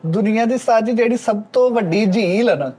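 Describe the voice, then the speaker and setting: A man's voice: high voice, moderate pace, dark tone, breathy edge. A man in casual group banter as a member of a talk show panel.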